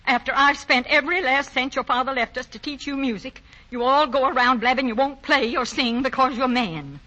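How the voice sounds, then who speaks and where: A woman's voice: sits high, brisk, bright, forceful tone. An actress playing a feisty, bossy mother of grown sons in 1940s radio comedy, scolding in brusque slang. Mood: bossy, exasperated. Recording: muffled old 1940 radio broadcast.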